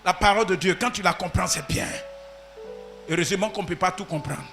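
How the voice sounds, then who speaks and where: A man preaching a sermon as pastor, swinging from shouted peaks to quiet asides. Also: high voice, a little fast, creaky, music in the background.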